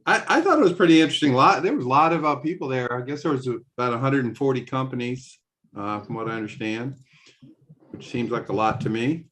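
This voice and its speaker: A man's voice: mid-range voice, fast, fairly bright tone. A man hosting a casual group video call, joking around and rambling with lots of fillers. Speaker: host of a live video call.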